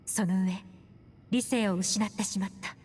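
A woman playing a composed, serious adult investigator in a video game, speaking in a measured way. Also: mid-range voice, steady tone, darkish tone, big-room sound, lightly acted. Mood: composed, grave. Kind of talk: Japanese video game voice acting. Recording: music behind.

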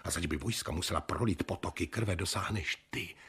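An actor playing a stern, scheming older noble father in a radio drama, coldly persuasive and often sinking to a hushed whisper. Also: full character voice. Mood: persuading. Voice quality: very husky, deep. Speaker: father of the bride.

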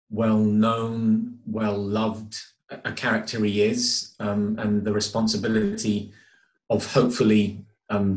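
A middle-aged man with a fairly low voice answers in a hesitant, thoughtful way. The sound is a roomy, echoing video call from indoors. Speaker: stage actor, interviewee. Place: indoors at home.

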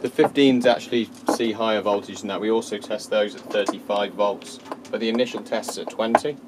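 A man in his thirties gives an informal technical explanation as a designer, matter-of-fact with hesitant pauses. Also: fairly low pitch, fairly even intonation. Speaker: loudspeaker transducer designer. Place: small indoor workshop test room. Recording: loud background hum and workshop noise.

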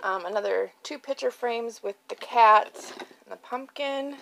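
Woman, gravelly fry, unhurried casual chat, informal show-and-tell, noisy phone recording at home. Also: on the high side. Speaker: craft channel host previewing auction items. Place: indoors at home, at a card table. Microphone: handheld phone.

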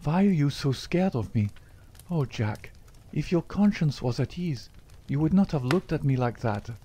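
A man's voice: on the high side, expressive, normal speed, dark tone, a little hiss or hum, pitched above the performer's own voice, close to an everyday voice. A man reading aloud, voicing a young woman who anxiously pleads with her outlaw lover. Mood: suspicious, anguished. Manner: imploring, breathless, emotional.

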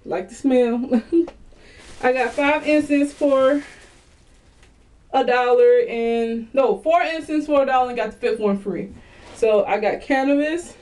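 Chatty woman, bright high voice. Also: unhurried, long pauses. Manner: chatty, animated, addresses viewers as y'all. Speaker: vlogger. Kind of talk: casual haul video. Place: small bedroom indoors.

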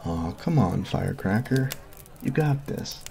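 A man's voice: fairly low pitch, animated, a little slow, vocal fry, darkish tone. A man voicing a sweet, goofy college jock in an ASMR roleplay, earnest and flustered, close on a binaural mic. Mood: teasing. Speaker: voice actor.

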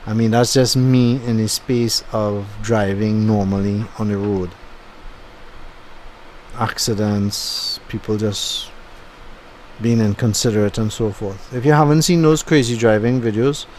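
Casual man, low voice. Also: steady tone, a little slow, darkish tone, slight background noise, rough recording. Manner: casual, rambling, thinking aloud with fillers. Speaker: YouTube channel host. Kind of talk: voice-over commentary on a driving video. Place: home, recorded after the drive.

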